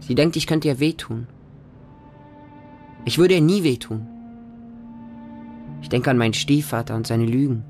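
A man's voice: mid-range voice, unhurried, long pauses, darkish tone, lightly acted. Man as a street child narrating over music. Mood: earnest, plain. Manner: simple short sentences, intimate storytelling. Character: homeless Moscow street child who befriends stray dogs. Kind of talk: first-person radio drama monologue.

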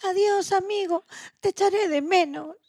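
Casting: woman to play little male elephant calf, extremely high voice, playful, friendly, sweet-natured, for a kids' story. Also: moderate pace, darkish tone, dry recording, studio quality. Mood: tender farewell. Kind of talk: children's story dramatization.

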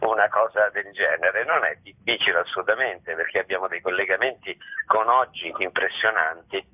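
Low, husky man on a muffled phone line. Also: moderate pace. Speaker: theatre director, interview guest. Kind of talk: spontaneous radio interview talk. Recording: muffled, narrow telephone line.

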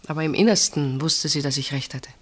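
An actress in a German radio play voicing an earnest, mature woman who speaks deliberately and confidingly, with weighted pauses. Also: low-pitched. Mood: earnest, grave. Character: earnest older woman, sister pleading for a marriage.

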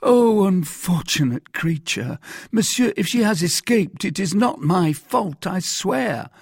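A man's voice: mid-range voice, sing-song, unhurried, darkish tone, breathy edge, no room sound, clean studio sound, pitched above the performer's own voice, full character voice. A man voicing a timid, anxious husband in an audiobook, protesting and pleading in a whiny, over-earnest way. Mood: frantic, pleading. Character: timid, pleading middle-class husband, anxious and eager to placate. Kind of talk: dramatized audiobook reading.

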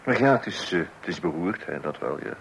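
A harried father in a radio play, trying to keep his patience. He hesitates, blusters and turns wry, his pitch leaping about on a somewhat low base. A faint hiss of old radio sits behind him. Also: expressive, brisk, gravelly, rough recording. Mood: resigned. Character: exasperated father of small children, trying to stay patient. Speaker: narrator addressing the listener. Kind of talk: Dutch radio play acting. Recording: hissy old radio recording.

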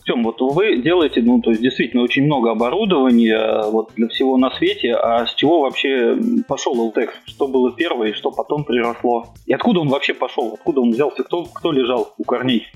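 A man's voice: medium pitch, brisk, a little mellow, slightly rough. A man hosting a podcast, casually chatting and putting questions to his guest. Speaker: podcast host, interviewer. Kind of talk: casual chat, interviewing. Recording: dull lo-fi sound, faint music.

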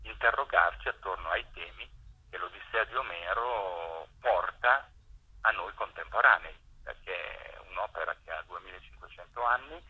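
A man, a theatre maker giving a radio interview by phone with emphatic, deliberate phrasing over a muffled line. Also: low-pitched, husky.

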